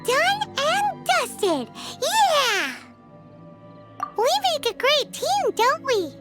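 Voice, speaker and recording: A woman voicing a small, cheeky female fairy companion in a video game, with a squeaky childlike voice and a perky, emphatic manner. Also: very animated, extremely slow, music in the background, exaggerated voice. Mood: cheerful. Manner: perky, emphatic, talks like a cartoon sidekick. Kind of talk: English video game voice acting.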